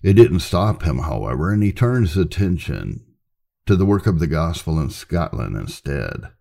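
A man narrating church history from a script in a solemn, measured, emphatic storytelling manner. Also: a bass voice, very animated, creaky, dark tone. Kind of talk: scripted narration, reading aloud.

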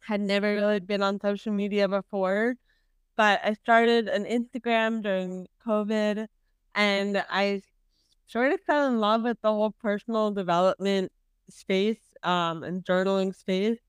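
Woman, twenties, very clear voice, giggly podcast co-host, dry close mic. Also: medium pitch, fairly even intonation, unhurried, no echo. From New York. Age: 20s. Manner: giggly, quirky, chatty. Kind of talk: casual chat.

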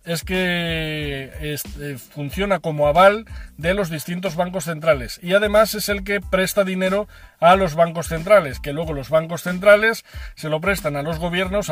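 A man hosts a finance channel, explaining a term informally to the viewer with many fillers, self-corrections and ironic asides. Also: high-pitched.

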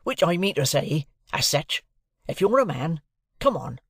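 A man's voice: high-pitched, expressive, normal speed, dry recording, clean studio sound, voice pushed up. A man voicing a big, tender-hearted village blacksmith in an audiobook, rambling and repetitive in rustic turns of phrase, easily roused to indignation. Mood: fighting indignation. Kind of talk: audiobook character dialogue.

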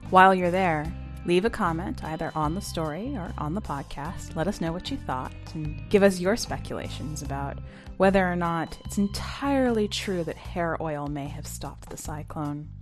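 A woman presenting a literary podcast, reading and speaking in a measured narrating style. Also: lowish voice, soft background music. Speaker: podcast host and editor reading a story.

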